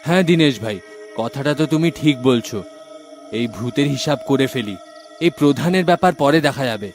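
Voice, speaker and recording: Male voice, mid pitch, for a resolute young villager in a cartoon; faint music behind. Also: lively intonation, moderate pace, lightly acted. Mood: determined. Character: brave young village man, determined. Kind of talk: cartoon dubbing.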